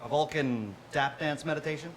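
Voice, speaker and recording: Weary middle-aged space admiral, played by a man, slightly high male voice, conversational, live stage with faint noise. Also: moderate pace, lightly acted. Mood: casual, concerned. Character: weary middle-aged starship admiral, casual and self-deprecating. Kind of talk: comic stage reading.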